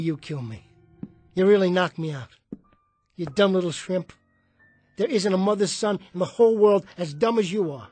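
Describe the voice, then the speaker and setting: An actor playing a bitter, washed-up small jockey in a radio drama, husky and combative, talking in streetwise slang. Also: male voice, sits high, exaggerated voice. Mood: self-loathing.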